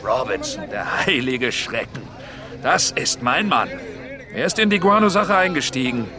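A brash, animated scheming sea trader. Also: male voice, mid-range voice, measured pace. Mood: boastful. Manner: boastful, coaxing, coarse, swearing. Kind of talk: radio drama acting. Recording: busy outdoor crowd behind. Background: outdoor crowd bustle.

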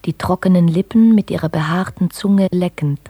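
An actress narrating a literary radio play as a quiet observer, hushed and measured. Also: female voice, fairly low pitch, breathy edge, dry recording. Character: female narrator, quiet observer describing landscape.

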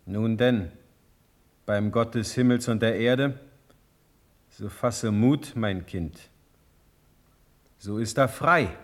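An actor playing a dignified, fatherly older ruler in a classical radio drama, with deliberate, declamatory delivery. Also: on the low side. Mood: solemn, resolved. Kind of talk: classical German verse radio drama acting.

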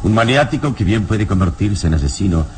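A man acting a calm, wise, commanding adventurer hero in an old radio serial, speaking deliberately and dramatically. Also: fairly low pitch, slight background noise, close to an everyday voice. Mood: composed, knowing.

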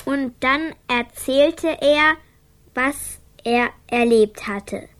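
A sad young girl in a radio drama, guarded and private. The voice is high and unhurried. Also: noisy background. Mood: hushed reading. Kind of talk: radio play acting.

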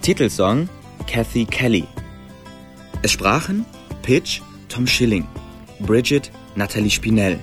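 A man's voice: on the low side, sing-song, slow pace, pauses often. A man reading out radio drama credits as a scripted announcement. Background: faint music.

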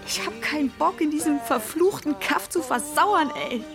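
A woman's voice: sits high, expressive, moderate pace, vocal fry, over music. An actress playing a defiant, bitter young village woman in a radio drama, blunt, slangy and foul-mouthed. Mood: furious, bitter. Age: young adult.

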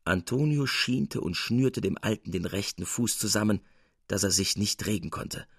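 A man reading literary fiction as an audiobook narrator, in an expressive storytelling manner. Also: on the low side, normal speed, gravelly, slightly rough, no echo, studio quality.

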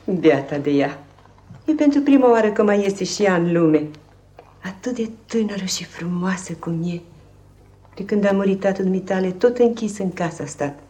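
An actress playing a warm, scolding, outspoken housekeeper and nanny in a 1950s Romanian radio play, with an expressive, fussing manner. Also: female voice, fairly low pitch, lightly acted. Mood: fond, fussing.

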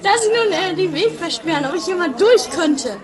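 A woman playing an excitable, chatty young woman in a comedy radio play, gushing and stammering dramatically. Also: very high voice, moderate pace, crowd noise. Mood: flustered, friendly.

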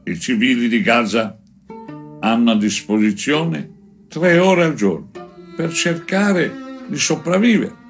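A man reading a first-person chronicle aloud in a measured, deliberate way, with frequent pauses between phrases. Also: mid-range voice. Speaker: reader of a book chapter. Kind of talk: narrated audiobook-style reading of a first-person war chronicle.

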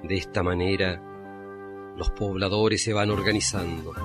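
A man's voice: low-pitched, fairly even intonation, unhurried. Solemn male narrator over prominent music. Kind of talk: scripted radio drama narration. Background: loud music.

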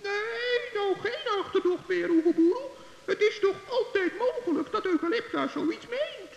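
An actor in a children's radio play voicing a small, kindly, trusting male forest gnome with a squeaky, creaky voice. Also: animated, moderate pace, lo-fi sound, heavily acted. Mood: earnest reproach. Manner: gentle, earnest, naive.

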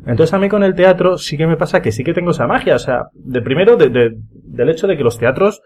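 A man's voice: a little high, expressive, fast, darkish tone. A man with a Madrid Spanish accent chatting casually with friends as a podcast panelist, emphatic and often repeating words for emphasis. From Spain. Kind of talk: casual chat among friends.

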